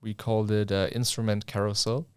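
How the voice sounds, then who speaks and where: A young man from Germany, co-hosting a casual podcast in English with relaxed, wry deadpan humor. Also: on the low side, a little fast, clean tone, dry recording, studio quality. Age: young adult. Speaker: podcast co-host. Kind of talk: casual chat. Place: indoor room, seated in front of camera.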